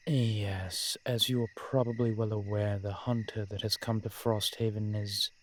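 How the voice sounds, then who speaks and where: A man playing a courteous, centuries-old vampire in an audio roleplay, speaking in a measured, formal, genteel way with deliberate pauses. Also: fairly low pitch, a little hiss or hum. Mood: measured, confiding. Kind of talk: scripted audio roleplay addressed to the listener.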